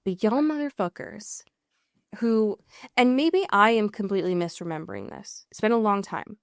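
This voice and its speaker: A woman's voice: medium pitch, moderate pace, clear, resonant tone, no echo, clean studio sound. An American woman co-hosting a casual recap podcast, emphatic and sweary, often rambling and correcting herself as she goes. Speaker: podcast co-host. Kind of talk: casual TV and film recap chat.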